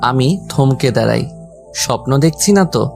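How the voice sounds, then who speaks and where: A man's voice: medium pitch, lively intonation, moderate pace, vocal fry, darkish tone. A man reading a scripted first-person story as its narrator and lead character. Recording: background music under the voice. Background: music.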